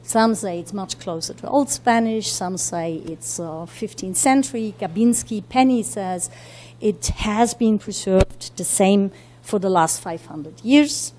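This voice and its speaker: Fast-talking woman lecturing with many fillers. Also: mid-range voice, darkish tone, a little hiss or hum. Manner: talks quickly with many fillers and self-corrections. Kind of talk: academic lecture. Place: university lecture room.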